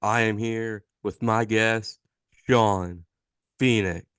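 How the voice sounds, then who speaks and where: A male podcast host with a low, smooth voice. He keeps his questions short and plain, and the sound is dry. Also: fairly even intonation, slow pace, fairly bright tone, no room sound. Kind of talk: casual interview.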